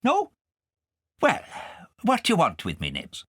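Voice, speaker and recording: A man voicing a sly, genial fox in a storybook, with hearty old-fashioned gentlemanly patter. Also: high-pitched, wildly swooping pitch, a little slow, breathy edge, no echo, studio quality, pitched above the performer's own voice, heavily acted. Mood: affable, hospitable. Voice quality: unpleasant singing voice. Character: sly fox, genial bachelor host and gleeful poacher.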